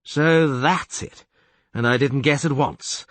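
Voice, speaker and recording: A man voicing a pompous middle-aged male castellan who blusters loudly, his pitch swooping high. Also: slow, dry recording. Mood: stern. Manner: loud, theatrical, swoops high.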